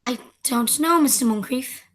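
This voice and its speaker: A woman's voice: high voice, normal speed. A woman playing a sweet, candid, slightly impatient eighteen-year-old girl in an amateur radio play, artless and prim. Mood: sweetly impatient.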